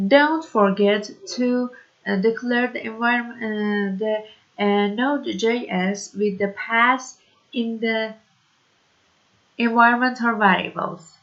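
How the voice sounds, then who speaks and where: A woman from Iran, whose first language is Persian, teaching a programming tutorial in slow, hesitant English full of fillers. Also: a little high, a bit bright, muffled recording. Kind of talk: screen-recorded coding tutorial.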